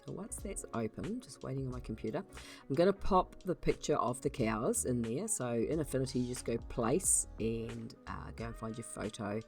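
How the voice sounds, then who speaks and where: Croaky-voiced woman giving a chatty tutorial. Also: very deep voice, expressive, normal speed, a little mellow, soft background music. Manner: chatty, many ums and restarts. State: croaky voice from being unwell. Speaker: YouTube channel host. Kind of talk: casual screen-recorded software tutorial.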